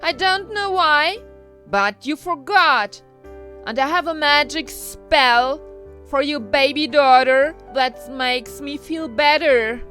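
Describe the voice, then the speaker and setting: A woman's voice: sits high, bright, forceful tone, soft background music, voice pushed up, exaggerated voice. A woman voicing a spiteful evil fairy in a told fairy tale, speaking emphatically and theatrically, drawing words out. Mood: spiteful, menacing. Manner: emphatic, drawn-out, theatrical delivery. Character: spiteful evil fairy cursing a baby princess.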